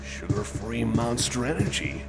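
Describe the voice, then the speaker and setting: A man's voice: medium pitch, lively intonation, unhurried, vocal fry, over loud music. A man playing a friendly, anxious cyborg companion in an ASMR roleplay, rambling hesitantly and repeating himself as he chats to the listener. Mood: chatty, musing.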